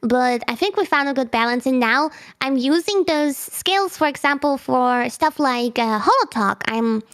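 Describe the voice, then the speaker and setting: A woman's voice: high-pitched, expressive, moderate pace, a bit bright. A woman whose first language is German, a streamer guest chatting casually on a video call, bubbly and giggly, often restarting her sentences. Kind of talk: casual interview chat.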